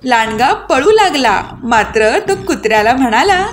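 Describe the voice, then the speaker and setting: A woman narrating a scripted children's fairy tale as an expressive storyteller. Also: high voice, unhurried, a little hiss or hum. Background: music.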